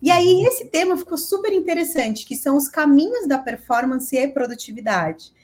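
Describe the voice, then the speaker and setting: A woman's voice: high-pitched, lively intonation, normal speed, darkish tone. A woman with a Brazilian accent, co-hosting a podcast and interviewing in a chatty, informal way. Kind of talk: casual conversational interviewing.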